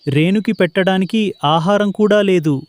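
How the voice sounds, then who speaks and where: A man voicing an honest, gentle young husband bird, a humble trader in a children's cartoon, speaking earnestly. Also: high voice, normal speed, dry recording, close to an everyday voice. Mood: pleading. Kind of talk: children's animated story dialogue.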